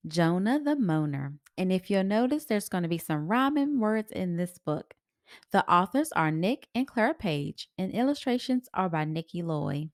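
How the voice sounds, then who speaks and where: Woman, children's read-aloud, animated pitch swings, unhurried pace, sing-song storytelling. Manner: expressive, sing-song rhyming delivery.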